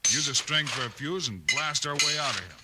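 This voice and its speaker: An actor playing a calm, decisive Western lawman in a 1950s radio drama, speaking in a brisk, commanding way. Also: a little high, lightly acted. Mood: confident. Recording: very noisy, crackly 1950s radio recording.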